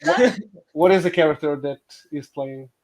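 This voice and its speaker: Higher-pitched man hosting a casual chat. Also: moderate pace, rough recording. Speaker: host.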